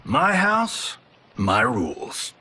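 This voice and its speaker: An actor playing a glib, self-promoting American martial arts movie star, middle-aged, in a cinematic video game. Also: male voice, mid-range voice, wildly swooping pitch, extremely slow, background noise, full character voice. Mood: defiant, mocking. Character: cocky, fast-talking fading martial arts movie star.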